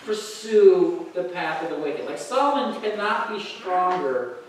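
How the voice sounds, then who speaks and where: A man's voice: high-pitched, animated, measured pace, a little hiss or hum. A middle-aged man preaching a sermon in a church hall, emphatic and conversational, often checking in with "right?". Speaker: pastor. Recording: very echoey hall, heard from a distance.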